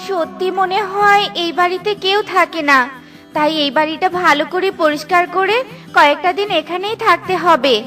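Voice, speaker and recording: A woman's voice: very high voice, normal speed, fairly bright tone. A woman voicing a poor widowed mother bird, pleading and tender with her children. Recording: cartoon with music behind.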